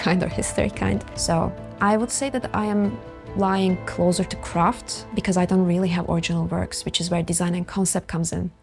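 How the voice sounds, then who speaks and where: A young woman in her twenties with a slightly low voice talks in a measured, thoughtful way. She opens up candidly in a documentary interview, with clear music playing underneath. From Turkey. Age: 20s. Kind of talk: reflective personal interview. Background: soft music.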